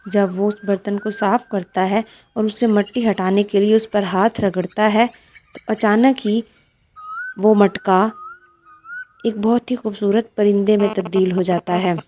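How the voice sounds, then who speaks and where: A woman's voice: medium pitch, fairly even intonation, measured pace, warm tone, slight background noise. A woman narrating a scripted fairy tale for children as a storyteller.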